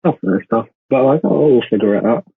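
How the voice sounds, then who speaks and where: A man's voice: mid-range voice, animated, fairly quick, creaky, slightly rough, no room sound. A man in his twenties who grew up in India, chatting casually as a podcast guest over a call, rambling with many "like" fillers. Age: 20s. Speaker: podcast guest, law graduate. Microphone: calling in remotely. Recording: tinny, phone-like call audio.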